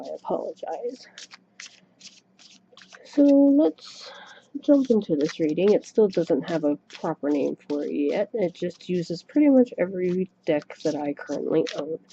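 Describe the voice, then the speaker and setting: A quiet, soft-spoken woman talks her way through a tarot reading, with chatty self-conscious asides. Her voice sits a little low and mellow, with a gravelly fry. It comes through a dull, lo-fi webcam microphone. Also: slight background noise. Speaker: tarot reader. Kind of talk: casual solo reading to camera. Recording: dull lo-fi webcam mic recording.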